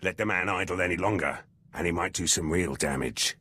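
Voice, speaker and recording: An actor playing a cynical, gruff military lieutenant in a video game, talking in terse, clipped phrases. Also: male voice, sits low, brisk, pushed and bright, full character voice. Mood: brisk, businesslike. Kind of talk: video game character dialogue.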